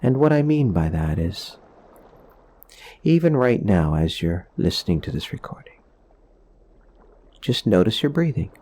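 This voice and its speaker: Male meditation guide, low-pitched, very unhurried, soothing, gentle explanatory phrasing. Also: big pitch swings.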